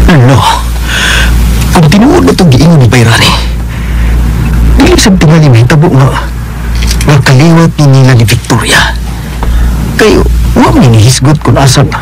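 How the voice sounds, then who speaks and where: An actor in a radio drama playing a worried young man searching for his sister, speaking hesitantly with many pauses. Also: on the high side. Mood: pondering. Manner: hesitant, filler-heavy. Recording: radio drama with noisy background.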